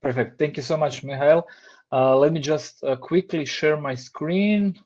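Croatian man, 30s, relaxed speaker giving a talk over a dull video call. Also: a little high, unhurried. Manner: relaxed, hesitant with many fillers. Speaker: design lead giving a talk. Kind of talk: online presentation. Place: home room, indoors. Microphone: headset on a video call.

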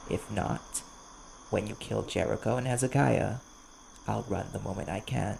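Man acting a gravelly, guarded vampire bounty hunter. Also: on the low side, normal speed. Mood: wary, resolute. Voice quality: gravelly, creaky. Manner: guarded, blunt, earnest. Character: wary, desperate male vampire bounty hunter, sharp-tongued. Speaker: audio roleplay voice actor. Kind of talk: ASMR audio roleplay acting. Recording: clear background noise, chirping crickets.

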